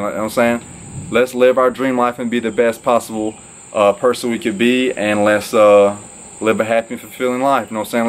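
A man's voice: medium pitch, a little hiss or hum. A young man talking casually to camera from an outdoor porch, rambling and upbeat with lots of fillers. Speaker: fitness vlogger. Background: crickets and insects.

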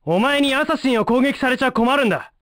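A man voicing a cocky, hot-headed young ninja with a brash, rough manner in an anime fighting game. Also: very high-pitched, animated, quick pace, a bit bright, no room sound, studio quality. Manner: brash, rough street slang, combative.

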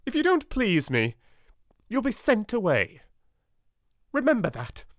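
A man's voice: high-pitched, huge pitch swings, a little slow, dry recording, clean studio sound, pitched above the performer's own voice, full character voice. A man with a British accent voicing a stern, domineering headmistress in a clipped, commanding way. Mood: harsh. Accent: British English.

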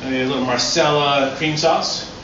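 A man's voice: medium pitch, moderate pace. Middle-aged man, chef at a patio demo, casual and eager. Distant, echoey, muffled sound with a hiss of outdoor noise. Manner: relaxed, enthusiastic explaining with restarts. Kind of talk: cooking demonstration. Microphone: far camera. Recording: echoey, distant, dull, noisy outdoor audio.

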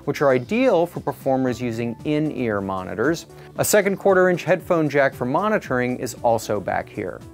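A middle-aged man reading a scripted product review as the presenter. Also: medium pitch. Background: background music.

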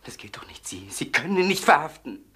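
An anxious, loyal woman in a crime radio drama. Her voice is tense and bright, with big swings in intonation. She stammers as she insists. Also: low-pitched, very animated, a little fast, a little hiss or hum, full character voice. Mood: desperate.

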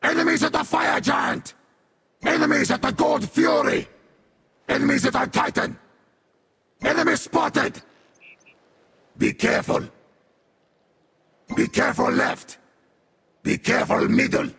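A man playing a sadistic, vengeful infernal beast warrior in a video game, with a harsh demonic growl and theatrical, deliberate delivery. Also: very high-pitched, fairly even intonation, gravelly, a bit bright, exaggerated voice. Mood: commanding, urgent. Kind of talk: video game character voice lines.

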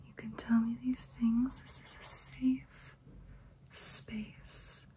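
A young woman voicing a soothing, nurturing vampire in a breathy ASMR whisper, gentle and reassuring. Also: fairly high pitch, little pitch movement, slow pace. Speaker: ASMR roleplay host. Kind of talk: whispered ASMR personal attention roleplay.

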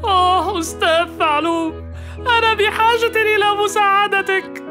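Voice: extremely high pitch, steady tone, normal speed, music in the background. A woman voicing a worried male carpenter in a cartoon, who speaks politely and apologetically.

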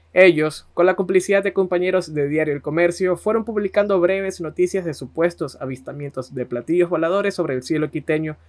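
A man narrating conversationally as a podcast host. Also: fairly high pitch.

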